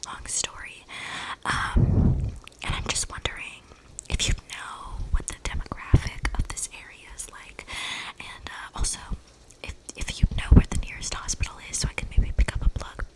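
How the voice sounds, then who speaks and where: A woman's voice: normal speed, lightly acted, rough recording. Woman playing a whispering, rambling young vampire. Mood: casual, sly. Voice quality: breathy whisper with creaky edges. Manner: rambling, casual slangy delivery with many likes. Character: eccentric, chatty young female vampire on the run. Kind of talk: whispered ASMR roleplay monologue. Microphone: close microphone with audible breathing.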